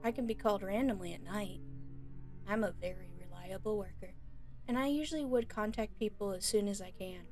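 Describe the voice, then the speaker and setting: A woman playing a frightened but defiant young female captive in an audio roleplay, pleading and indignant in a halting way. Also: medium pitch, a little mellow, soft background music, close to an everyday voice.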